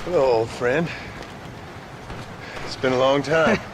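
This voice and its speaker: A man's voice: medium pitch, slow pace, very noisy, close to an everyday voice. A man playing a grim, vengeful former outlaw of the American frontier in a video game, terse and bitter, with an American accent. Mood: bitter, cold. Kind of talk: video game cutscene acting.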